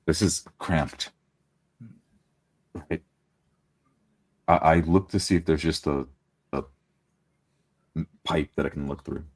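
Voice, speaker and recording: Tabletop player, man with an extremely deep voice, halting delivery. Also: fairly even intonation, breathy edge. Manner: hesitant, halting speech. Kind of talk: in-character tabletop play.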